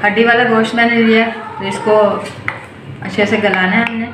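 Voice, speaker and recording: A middle-aged woman hosting her own cooking channel, calmly talking through each step at home while she cooks. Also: on the high side, moderate pace. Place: home kitchen, cooking at the stove. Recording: echoey, distant, noisy home recording. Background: frying and sizzling from the pan.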